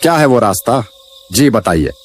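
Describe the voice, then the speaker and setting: A man's voice: medium pitch, sing-song, normal speed, breathy edge, soft background music. A man voicing a proud, earnest stranger from afar in a cartoon story, with a polite, formal manner. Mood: dejected pleading. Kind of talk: acted dialogue in a story cartoon.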